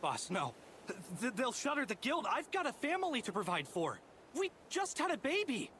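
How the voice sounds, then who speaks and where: An actor voicing a squeaky, excitable little henchman in a video game, panicky and pleading. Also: normal speed, slight background noise.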